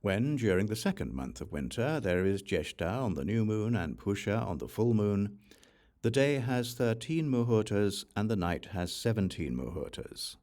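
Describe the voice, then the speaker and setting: A man narrating a Buddhist scripture audiobook in a measured, formal recitation. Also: lowish voice, sing-song, a little mellow.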